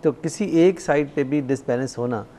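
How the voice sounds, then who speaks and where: Mellow Pakistani male doctor explaining. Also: mid-range voice. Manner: measured, mixes in English terms. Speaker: doctor, studio guest expert. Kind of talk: explanatory expert talk. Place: TV studio at a desk. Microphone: lapel mic. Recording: clear background noise.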